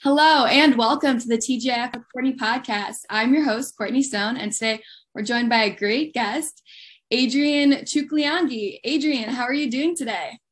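A woman hosts an interview podcast with a fairly high voice. She talks in a chatty, rambling way and adds friendly asides around her questions. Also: normal speed. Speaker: podcast host. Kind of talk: casual interview conversation.

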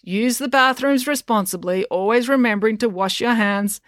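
A flustered small-town mayor as a woman narrator plays him reads out formally in a slightly raised pitch. He is stiff, hesitant and fumbling, a storybook official heard in a dry, close recording. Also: normal speed, fairly bright tone, no echo, lightly acted. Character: fumbling, flustered male acting mayor reading a speech. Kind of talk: storybook character voice.

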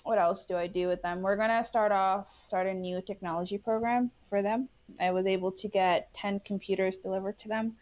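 A young woman talks through her experiences in a chatty, unpolished way, often restarting sentences and filling pauses. Her pitch sits in a middle range. The recording sounds somewhat dull and lo-fi. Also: fairly even intonation, a little mellow. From Bangladesh, raised in New York City. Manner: chatty, frequent fillers and restarts. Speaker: interview guest, Peace Corps volunteer. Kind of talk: casual interview answers.

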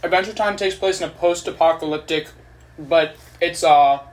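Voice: mid-range voice, moderate pace. A young adult in casual chat, opinionated and swearing freely while retelling cartoons dramatically.

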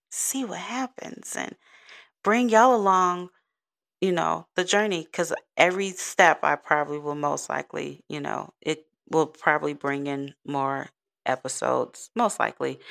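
A woman's voice: low voice, creaky, a bit bright. A woman, hosting a rambling, giggly solo podcast in a soothing voice. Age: 30s. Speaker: podcast host. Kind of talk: casual solo podcast chat.

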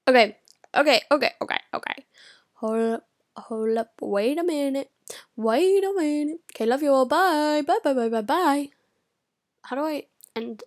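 A teenage girl chatting straight to camera in a rambling, scattered way. Her voice sits a little high, and she swears freely and often drops into a whisper mid-thought. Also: expressive, moderate pace. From United Kingdom. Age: teens. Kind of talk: casual vlog chat.

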